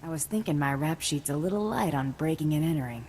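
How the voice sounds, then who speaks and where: Low, fast, cocky bounty hunter. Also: female voice, animated, a little mellow, slight background noise. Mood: wry. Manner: flippant, dry, confident. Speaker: player character in a video game. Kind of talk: scripted game dialogue.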